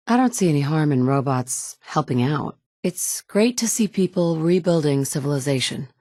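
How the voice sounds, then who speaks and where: A woman's voice: deep voice, a little mellow, no echo, natural voice. A woman voicing a tough, sardonic survivor in a wasteland, talking in a dry, blunt way with sarcastic asides, recorded close up in a studio booth. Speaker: player character. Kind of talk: video game voice acting. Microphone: close studio mic.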